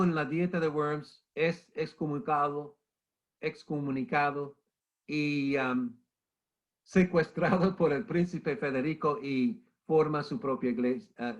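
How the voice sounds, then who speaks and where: A middle-aged man with the flu giving an emphatic church history lecture, repeating key points and calling listeners brother. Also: a little high. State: has the flu, coughing. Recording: muffled lo-fi recording.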